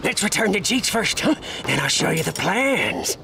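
An actor playing a manic, devout wasteland car mechanic in a video game, babbling and stammering with zealous reverence. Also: male voice, high voice, big pitch swings, normal speed, gravelly, background noise, full character voice. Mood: excitable, fussing. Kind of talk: theatrical video game character acting.

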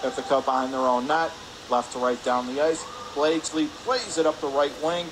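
Male, higher-pitched voice, radio hockey play-by-play, clipped urgent phrasing, clear arena background noise. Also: fairly even intonation, normal speed. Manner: clipped, urgent phrases while calling action. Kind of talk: live radio sports play-by-play commentary. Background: ice rink ambience.

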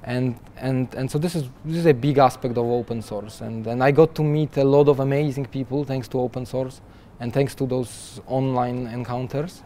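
Young man, mellow tone, chatty guest, many restarts, lapel mic. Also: mid-range voice, steady tone, normal speed, few pauses, a little hiss or hum. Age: young adult. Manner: chatty, restarts often with repeated words, laughs lightly. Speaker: guest software developer. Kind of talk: conversational interview answers. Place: conference interview booth, seated in armchair.

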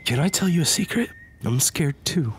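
A man's voice: on the high side, lively intonation, normal speed, a little hiss or hum, close to an everyday voice. A man acting in an audio drama as a kindly, protective, weary prisoner with a plain-spoken, reassuring way of talking. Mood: soothing.

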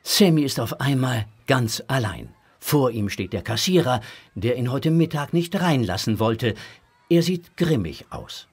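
A man's voice: medium pitch, animated, a little slow, husky. A man narrating a children's audio drama as its storyteller.

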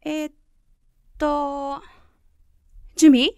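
A woman's voice: high voice, little pitch movement, drawn-out words, a little mellow, slight background noise, full character voice. A woman playing a polite, easily flustered interviewer in a comedy voice drama, hesitant and nervously deferential. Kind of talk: comedic Japanese voice drama acting.

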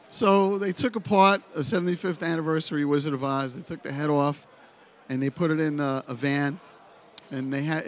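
Blunt Brooklyn man talking on stage. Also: fairly high pitch. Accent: Brooklyn New York. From United States. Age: middle-aged. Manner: blunt, animated storyteller, full of "you know". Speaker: pinball company head giving a seminar. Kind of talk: informal conference talk. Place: dark expo hall stage. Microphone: handheld microphone.

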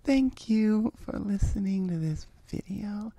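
A woman's voice: medium pitch, lively intonation, slow pace, dark tone, no echo. A woman speaking as channel host in an informal outro addressed to her listeners.

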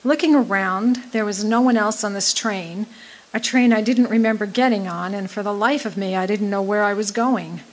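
A woman acts as a lost, bewildered lady traveller in a radio play. She recounts her situation in measured first-person storytelling, at a medium pitch. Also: natural voice, low-quality recording. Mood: bewildered, reflective. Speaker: actress. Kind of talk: radio drama monologue.

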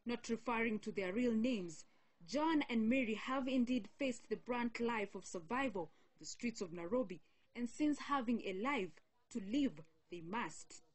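A young woman reporter reading a scripted news feature in a measured, solemn way. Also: a little high, animated, poor audio.

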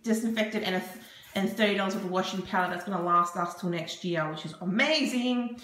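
A woman's voice: mid-range voice, fairly quick. A young Australian woman chatting casually through a grocery haul, rambling with lots of ums, filmed on a phone at home. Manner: chatty, many ums, rambling price tallies. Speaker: vlogger. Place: home kitchen, indoors. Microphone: phone camera held over the counter. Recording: echoey room with loud background noise. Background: husband and dog heard.

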